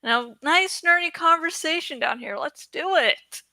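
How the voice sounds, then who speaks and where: A woman's voice: sits high, measured pace, pushed and bright, no echo, poor audio. A woman YouTuber talking casually to her viewers in a playful, rambling, self-deprecating way. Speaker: YouTuber who reads books aloud. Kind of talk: casual, chatty vlog talk to viewers.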